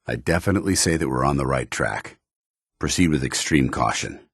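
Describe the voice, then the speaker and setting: Principled military paladin, very deep, slightly rough voice, formal, commanding, earnest, loyal soldier, video game dialogue. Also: male voice, a little fast, no echo, studio quality, full character voice. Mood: watchful, businesslike. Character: stern, principled male military paladin, loyal to his order.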